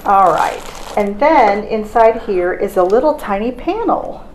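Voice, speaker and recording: A woman's voice: medium pitch, lively intonation, measured pace, noisy background. A woman hosting a casual product unboxing in an informal, conversational way with her co-presenter. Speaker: host.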